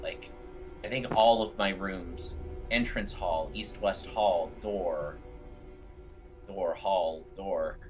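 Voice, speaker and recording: A man's voice: low voice, slow, over music. A man playing a tabletop game, speaking casually in a hesitant, puzzled way.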